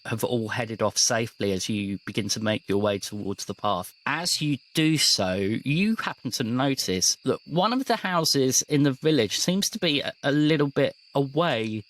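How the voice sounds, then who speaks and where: A man runs a tabletop roleplaying game as game master. He tells the story in a measured, descriptive manner at a middling pitch, setting out scenes for the players. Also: fairly bright tone, low-quality recording.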